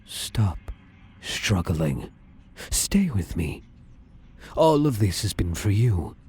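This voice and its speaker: A man voicing a husky, menacing shadow spirit. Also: lowish voice, sing-song, darkish tone, full character voice. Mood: possessive. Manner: slow, menacing, drifts into whispers. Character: possessive domineering male shadow spirit. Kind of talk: ASMR roleplay.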